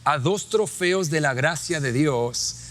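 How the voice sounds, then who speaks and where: A man's voice: fairly high pitch, expressive, slow. A man from Australia, a native English speaker, hosting a conversational interview in an encouraging, exhorting way. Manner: warm, encouraging, exhorting listeners. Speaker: podcast host.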